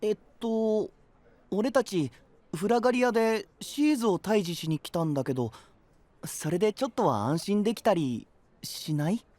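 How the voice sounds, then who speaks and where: A man playing an upbeat, caring young knight in an anime-style voice drama, with casual, slangy and expressive speech. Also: sits high, normal speed, dry recording. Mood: troubled.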